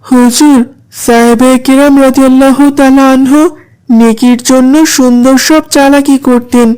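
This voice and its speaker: A woman's voice: high voice, darkish tone, clean tone, rough recording. A woman voicing an earnest, polite young schoolboy in a children's moral cartoon.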